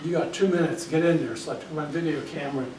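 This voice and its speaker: A man's voice: on the high side, steady tone, quick pace, background noise. Older man giving an echoey, far-off library talk. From Hungary. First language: Hungarian. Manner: chatty, keeps checking in with "okay?". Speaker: guest speaker. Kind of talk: informal library talk with slides. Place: library meeting room. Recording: echoey room, speaker far from the mic.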